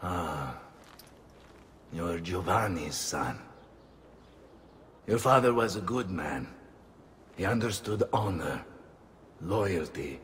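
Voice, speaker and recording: A man's voice: sits low, lively intonation, slow, pauses often, fairly bright tone, a little hiss or hum, exaggerated voice. An actor playing a dignified Florentine nobleman in a video game, solemn and formal, with an Italian accent. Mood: grave. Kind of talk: dramatic video game acting. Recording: music behind the voice.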